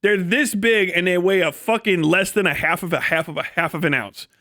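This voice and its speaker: A man live streaming, rambling comedically and casually to his chat with lots of swearing, folksy slang and animated, exaggerated storytelling. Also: high-pitched, a little fast, no room sound, clean studio sound. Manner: profane, folksy slangy phrasing.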